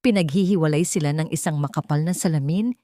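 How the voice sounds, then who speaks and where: A woman reading a scripted dramatic audio story as narrator, in a measured, emotive, literary way. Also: low-pitched, dark tone, no echo, studio quality.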